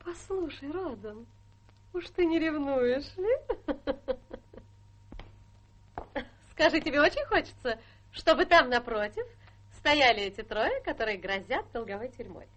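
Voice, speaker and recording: A high, swooping voice carries this young society wife. She is coquettish, scheming and utterly sure of herself, in full theatrical radio-play style. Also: expressive, moderate pace, fairly bright tone. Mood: cool, coaxing. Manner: coquettish, breezily self-assured.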